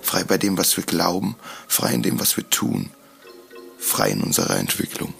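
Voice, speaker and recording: Super low crackly guy reading solemn spoken word, music under it. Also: wildly swooping pitch, normal speed, gravelly, a bit bright. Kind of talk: scripted solemn narration. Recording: music behind the voice.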